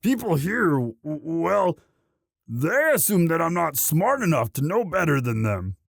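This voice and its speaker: A man playing a young orc, a gentle himbo sweetheart who talks in a halting, stammering, bashful and earnest way in a romantic roleplay audio. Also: on the high side, big pitch swings, vocal fry, no room sound, clean studio sound, heavily acted. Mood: tender, earnest.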